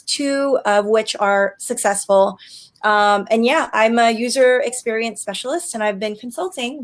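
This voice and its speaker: A bubbly woman in her forties hosts an online interview series. Her voice sits a little high, and her talk is chatty and loose, full of "um"s. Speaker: interview host and business coach. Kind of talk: upbeat online show hosting.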